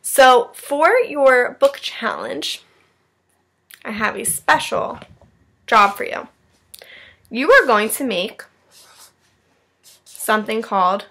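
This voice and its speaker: A woman's voice: fairly high pitch, sharp tone. A woman teacher reading a children's story aloud and chatting encouragingly to her class, checking in with her listeners. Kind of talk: expressive children's read-aloud with asides.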